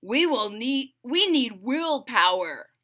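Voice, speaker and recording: A woman voicing a sensible, determined male frog in a children's storybook, speaking in emphatic, deliberate statements. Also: on the high side, very animated, pushed and bright, exaggerated voice. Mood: earnest explaining. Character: sensible, determined male frog, gently instructing his friend. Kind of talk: children's storybook read-aloud.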